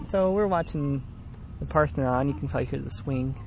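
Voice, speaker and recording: A man's voice: medium pitch, fairly quick, gravelly, slight background noise. Joking man co-hosting outdoors. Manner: joking, laughs often. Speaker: podcast co-host. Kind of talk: casual chat. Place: outdoors in a yard on a swing.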